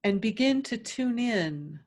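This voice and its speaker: A woman leading a guided meditation as a teacher, in a gentle, soothing, instructive way with long pauses between phrases. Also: fairly high pitch, lively intonation.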